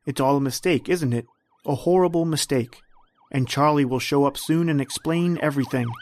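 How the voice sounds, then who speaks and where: A man's voice: fairly high pitch, moderate pace, background noise, close to an everyday voice. A man playing a knowing, taunting narrator in a scripted suspense radio drama. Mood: ominous, insinuating. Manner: insinuating, knowing. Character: ominous, taunting male narrator addressing the hero.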